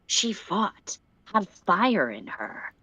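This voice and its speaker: A woman voicing a power-hungry living crown that invades minds, speaking in a disdainful, hissing, drawn-out way. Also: mid-range voice, big pitch swings, pushed and bright, husky, no room sound, full character voice, rough recording. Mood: demanding, hungry.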